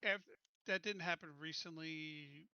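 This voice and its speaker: A man's voice: a little high, unhurried, bright, forceful tone, smooth, no echo, muffled recording. A man chatting casually as a player in a tabletop roleplaying game, asking questions as he goes.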